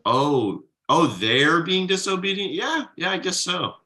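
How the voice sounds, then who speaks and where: Man playing a bright-voiced, easygoing tired dad. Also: a little high, sing-song, unhurried, full character voice. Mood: gentle, explaining. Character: exhausted, easygoing 37-year-old slacker dad. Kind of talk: naturalistic comedy table read.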